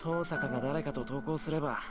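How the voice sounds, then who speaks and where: Quick, blunt anime schoolboy, acted by a man. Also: on the high side, natural voice. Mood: grumbling. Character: earnest, blunt teenage schoolboy. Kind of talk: Japanese visual novel voice acting. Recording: game music behind the voice. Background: game music.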